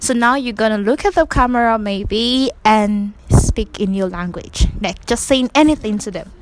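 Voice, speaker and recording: A woman's voice: a little high, moderate pace, slight background noise. A woman hosting a casual interview, chatty and playful, drawing out her words. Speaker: interview host.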